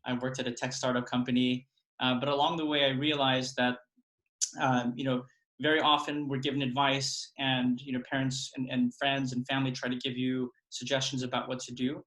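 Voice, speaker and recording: A man's voice: medium pitch, little pitch movement, a little fast, a bit bright, poor audio. A man working as a college admissions consultant, introducing himself on a video call in an earnest, hesitant way with many fillers.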